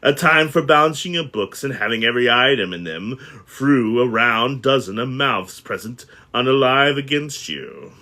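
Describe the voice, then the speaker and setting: A man voicing a grumpy, miserly old man in a storybook reading, gruff and scornful. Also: mid-range voice, expressive, normal speed, few pauses. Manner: gruff, scornful, sharp outbursts.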